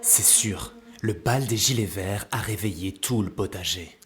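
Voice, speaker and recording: A man's voice: on the low side, measured pace, fairly bright tone. A man narrating a children's cartoon story as a playful storyteller in scripted style. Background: music, plucked guitar.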